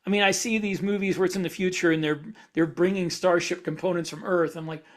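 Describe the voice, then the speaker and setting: A man with a notably high-pitched voice talks through ideas as a podcast co-host. He explains step by step in a casual, speculative way, halting and rewording as he goes. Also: steady tone.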